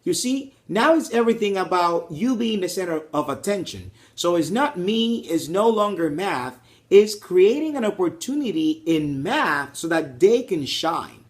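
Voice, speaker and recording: A man's voice: sits high, big pitch swings, measured pace. A man, a math teacher, speaking earnestly in an interview about his teaching. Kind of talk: interview to camera.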